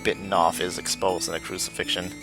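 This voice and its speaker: Low-voiced man streaming over music. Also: little pitch movement, fast talker. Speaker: game streamer. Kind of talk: live game stream, reading text aloud and commenting. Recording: music behind the voice. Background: game music.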